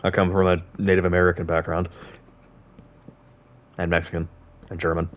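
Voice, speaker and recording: Man, low voice, casual gaming chat, joking, swears, laughs a lot, dull lo-fi audio. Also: monotone, normal speed. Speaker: gamer. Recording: dull lo-fi sound.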